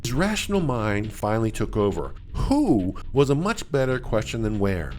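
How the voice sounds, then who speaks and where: A man narrating a scripted suspense story, dramatically, with deliberate pauses. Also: on the low side, big pitch swings, a little mellow. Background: music.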